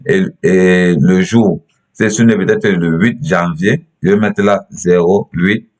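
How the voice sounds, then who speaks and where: A young man with a Cameroonian accent and a very low-pitched voice presents a step-by-step tutorial from his computer. He goes haltingly, often repeating words and phrases as he explains each step. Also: darkish tone.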